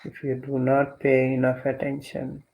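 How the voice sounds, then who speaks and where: A man leading a guided meditation as a teacher, speaking gently and deliberately with long pauses between instructions. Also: medium pitch, completely flat delivery, vocal fry, low-quality recording.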